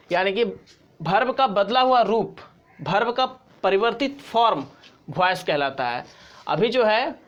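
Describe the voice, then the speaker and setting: A man's voice: high voice, fairly bright tone. A man giving an informal tutorial as a teacher, explaining step by step and repeating key points. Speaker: grammar teacher.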